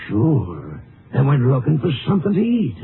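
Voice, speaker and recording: An actor playing a folksy, doubtful Texas sheriff in a 1950s radio drama, with a drawl. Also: male voice, mid-range voice, huge pitch swings, moderate pace, vocal fry. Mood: puzzled. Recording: noisy, muffled old radio recording.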